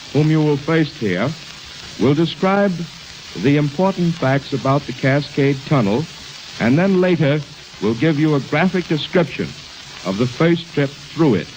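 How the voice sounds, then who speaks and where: American announcer on crackly 1920s radio. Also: male voice, a little high. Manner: formal, measured, declamatory.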